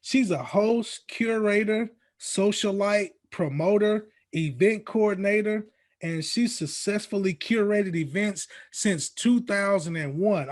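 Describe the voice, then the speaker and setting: A man with a notably high voice hosts a podcast. He talks casually and upbeat, using plenty of slang as he chats like an interviewer. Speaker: podcast host.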